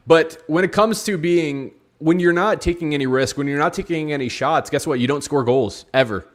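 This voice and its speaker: A man chatting casually as a football pundit on a talk show, slangy and matey, repeating points for emphasis. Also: on the high side. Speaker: football show co-host or guest. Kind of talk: casual football analysis chat.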